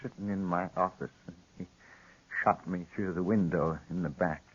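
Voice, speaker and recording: A shifty frontier lawyer weakened by a gunshot wound, insistent yet evasive. His voice is low and husky. The sound is a dull vintage radio drama. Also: steady tone, moderate pace. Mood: pained, evasive. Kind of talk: old-time radio western acting. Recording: dull lo-fi 1950s radio recording.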